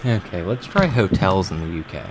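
Low-voiced American man, noisy recording. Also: big pitch swings, brisk. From United States. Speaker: prank caller, host. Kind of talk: casual improvised prank phone call. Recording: clearly noisy live call recording.

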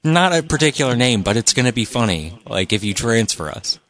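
A man's voice: medium pitch, very animated, brisk, a bit bright. A man making crude, pushy prank calls to hotel front desks and chatting casually with a friend. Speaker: prank caller. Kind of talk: casual prank call chat.

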